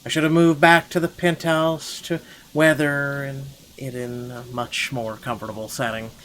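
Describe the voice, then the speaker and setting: A man reading a visual novel aloud as a wealthy, irritable gentleman, expressive and indignant in his narration. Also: mid-range voice, normal speed, a little hiss or hum, natural voice. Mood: tense, irritated. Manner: indignant, expressive, stumbles over the script. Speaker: gaming channel host.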